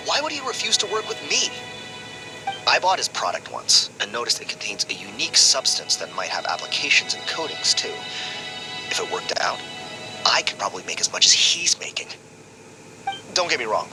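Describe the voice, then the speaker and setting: A disgruntled video game researcher with a lowish, extremely animated voice. He grumbles with sardonic emphasis and a jealous edge, with music clearly audible behind him. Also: low-quality recording. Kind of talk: scripted video game voice acting.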